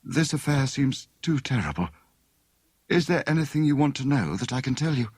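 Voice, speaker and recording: A man's voice: medium pitch, big pitch swings, moderate pace, darkish tone, slightly rough, no room sound, clean studio sound, voice pushed up. A man voicing an anxious, polite, earnest young Englishwoman in an audiobook story. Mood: earnest, troubled. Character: anxious, well-mannered young Englishwoman, tall and steady.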